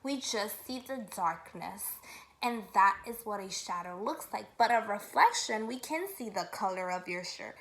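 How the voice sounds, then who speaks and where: A woman's voice: medium pitch, expressive, moderate pace, fairly bright tone, low-quality recording. A woman kindergarten teacher leading a read-aloud lesson, upbeat and instructive, asking guiding questions.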